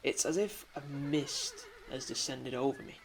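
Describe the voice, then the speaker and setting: An actor playing a frustrated, weary soldier poet in a radio drama, speaking in a brooding, curt way with sighs. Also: male voice, mid-range voice, normal speed, creaky, noisy background, lightly acted.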